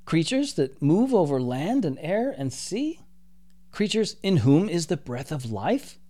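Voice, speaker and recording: A man's voice: on the high side, big pitch swings, measured pace, warm tone, pitched above the performer's own voice. A man doing a haughty, scornful archangel. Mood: disdainful. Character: proud male archangel, haughty and disdainful.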